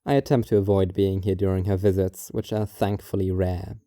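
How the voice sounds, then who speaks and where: A man narrating a scripted first-person story in a measured, detached, formal manner. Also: low voice, flat delivery, dark tone, no echo, studio quality.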